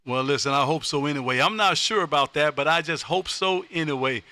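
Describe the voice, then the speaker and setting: An American man hosting amateur radio contacts on a live stream, loud, exuberant and folksy, often repeating his phrases. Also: on the high side, fairly quick, dry recording.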